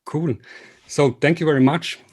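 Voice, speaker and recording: Swiss man, earnest and halting interviewer. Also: on the high side, fairly even intonation, darkish tone, slightly rough. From Switzerland. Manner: earnest, polite, halting speech. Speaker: interviewer and video series host. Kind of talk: informal remote interview.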